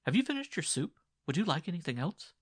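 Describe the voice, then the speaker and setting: A man's voice: a little high, sing-song, fast, slightly rough, no echo, studio quality, voice pushed up. A man voicing a talkative, prying peasant wife in an audiobook story, chattering and insistent. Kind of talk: dramatized audiobook dialogue.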